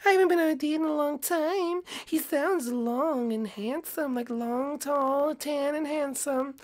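A performer voicing a prim, easily offended young woman with a high, indignant, squealing manner. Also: unhurried. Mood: coy. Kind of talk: comedic puppet-style skit acting.

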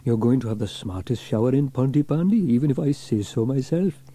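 A man's voice: medium pitch, expressive, fairly quick, warm tone, lightly acted. A man voicing a jovial, boastful village handyman in a children's storybook. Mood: pleased. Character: hearty, self-satisfied village handyman man.

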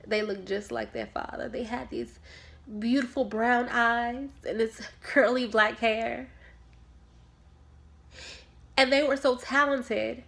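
A woman's voice: fairly high pitch, creaky. A woman performing a dramatic monologue as a grieving, defensive mother, speaking in emphatic, pointed phrases. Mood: wistful. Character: grieving, defensive mother questioned about her sanity.

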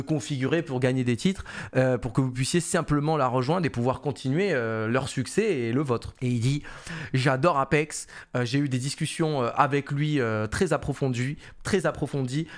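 A man, casually commentating on esports and paraphrasing an interview in an animated, colloquial, repetitive way into a close studio mic. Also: mid-range voice. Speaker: esports commentator and content creator. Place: small home studio room indoors. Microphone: close boom-arm studio microphone.

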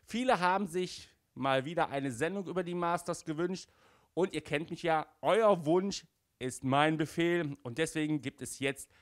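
A man, presenting casually and enthusiastically to camera as a show host, with an animated, emphatic manner. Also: fairly high pitch, moderate pace, no echo, studio quality. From Germany. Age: 40s. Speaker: collector magazine show host. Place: small indoor room with toy displays. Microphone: handheld microphone, later headset.

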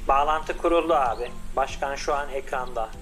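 A man's voice: mid-range voice, normal speed, fairly bright tone, soft background music, close to an everyday voice. A man voicing an eager young male scientist who speaks respectfully to his older brother.

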